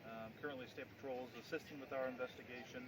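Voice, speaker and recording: An American man speaking as a police spokesman in an informal briefing, with halting, procedural phrasing and frequent hesitations. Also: medium pitch, steady tone. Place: outdoors near an investigation scene. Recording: distant mic, loud outdoor vehicle noise.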